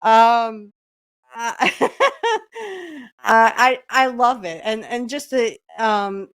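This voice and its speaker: A woman's voice: on the high side, animated, extremely slow, fairly bright tone. A woman hosting a podcast, chatting casually along with a video in a fond, giggly way, with many filler ums. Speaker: podcast host. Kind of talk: casual watch-along commentary.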